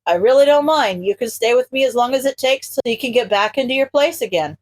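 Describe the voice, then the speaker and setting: Woman voicing an eager, high-pitched young man. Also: lively intonation, fairly quick. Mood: reassuring, curious. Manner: casual, earnest, eager. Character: friendly, easygoing, excitable young man, easily flustered. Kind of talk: audiobook character voice.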